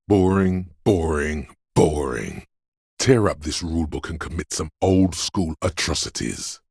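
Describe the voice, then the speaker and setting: An actor voicing a menacing inner voice of fear and violent aggression in a video game, brooding and theatrical, speaking in the second person. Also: male voice, no room sound, clean studio sound, heavily acted. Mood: aggressive goading. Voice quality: very deep, gravelly, husky. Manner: brooding, theatrical, deliberate delivery with ominous weight.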